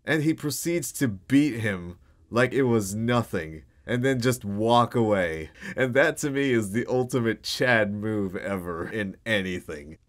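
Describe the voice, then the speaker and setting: A man's voice: fairly low pitch, expressive, a little slow. A man talking casually and informally about his voice acting work, in an easygoing, self-effacing way. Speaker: voice actor. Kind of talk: casual Q&A talk.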